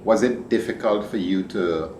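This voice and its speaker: Man, podcast host, low voice, casual and rambling, roomy echo, faint noise. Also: moderate pace. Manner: animated, rambling, laughs easily. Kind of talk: casual interview. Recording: echoey room with background noise.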